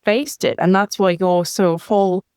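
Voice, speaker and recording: A woman's voice: fairly low pitch, fairly even intonation, unhurried, darkish tone, smooth, no echo, studio quality. A woman hosting a podcast, responding to her guest in an emotionally engaged, effusive way. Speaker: podcast host. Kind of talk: interview conversation.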